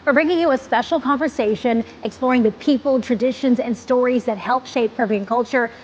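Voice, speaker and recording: Woman host, interview, somewhat high voice, faint background noise. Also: fairly quick, highs cut off. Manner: bright introductions, frequent affirming murmurs. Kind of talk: podcast-style interview hosting.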